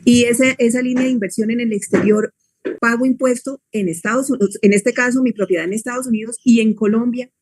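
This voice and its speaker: A woman's voice: on the high side, fairly even intonation, fairly quick, a little mellow, no echo. A woman interviewing, asking a guest practical follow-up questions in a conversational way. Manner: inquisitive, asks follow-up questions.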